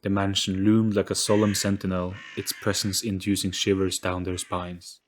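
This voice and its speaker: Low-voiced man narrating a spooky story. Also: little pitch movement, normal speed, slight background noise. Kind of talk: scripted dramatic story narration.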